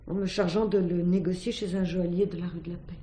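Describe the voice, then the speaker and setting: A woman's voice: on the low side, fairly even intonation, fairly quick, warm tone, close to an everyday voice. A woman playing an earnest young student under questioning in a 1970s radio drama, careful, deferential and a little hesitant. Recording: echoey room, old drama recording.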